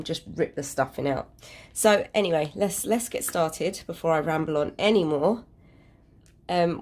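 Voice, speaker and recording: Congested British woman, lowish voice. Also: a little mellow. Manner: self-deprecating, hesitant, rambling. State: congested with a cold. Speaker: crafting channel host. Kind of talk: informal crochet tutorial.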